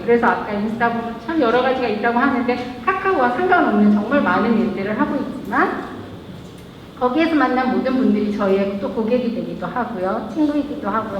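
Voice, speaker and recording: A woman's voice: on the high side, slight background noise. A woman, a local brand founder, giving a chatty stage talk and joking with the audience. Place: event hall. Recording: echoey hall, lo-fi live recording.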